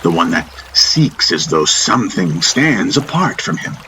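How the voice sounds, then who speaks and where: A man's voice: low-pitched, sing-song, unhurried, pushed and bright, a little hiss or hum, exaggerated voice, rough recording. A man voicing an eccentric, teasing spiritual master in a fantasy audiobook, preaching emphatically in rhetorical questions and lessons. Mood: emphatic teaching. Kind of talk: audiobook character voice. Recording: music under the voice.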